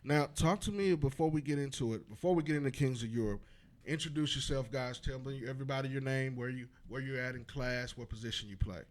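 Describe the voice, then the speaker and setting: A man's voice: mid-range voice, fast. Upbeat man, show host, casual sports interview. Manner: upbeat, hyping guests up.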